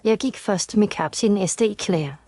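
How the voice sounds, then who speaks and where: Woman as careful young heiress, mid-pitched. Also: dark tone, no echo, studio quality, close to an everyday voice. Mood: uneasy, recollecting. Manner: formal, careful recounting. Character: injured young heiress, uneasy but clear-headed witness. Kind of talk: scripted audio story dialogue.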